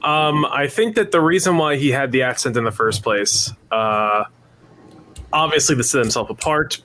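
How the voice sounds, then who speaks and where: A man's voice: mid-range voice, fairly bright tone. A man from a tabletop podcast cast answering fan questions in casual chat. He rambles and thinks aloud, with many fillers, over a video call. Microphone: remote mic over a video call.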